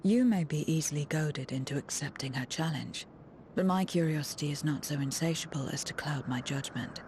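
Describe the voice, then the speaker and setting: A woman's voice: low-pitched, normal speed, a little mellow, a little hiss or hum, close to an everyday voice. A woman playing a cool, guarded mercenary in a fantasy video game, detached, curt and formal. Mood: cool, wary.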